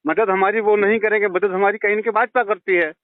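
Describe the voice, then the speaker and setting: A man's voice: high voice, fairly even intonation, quick pace, dry recording. A man with a western Uttar Pradesh accent speaking as a villager on a phone call, pleading insistently and deferentially and repeating himself. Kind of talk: heated phone conversation. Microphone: phone line. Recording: tinny narrowband phone call recording.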